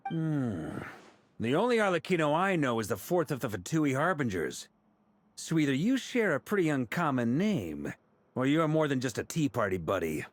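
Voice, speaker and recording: An actor playing an easygoing, shrewd knight commander in a video game, talking in a wry, casual way. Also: male voice, mid-range voice, very animated, normal speed, lightly acted. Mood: wry, amused. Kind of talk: video game character acting. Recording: faint background music.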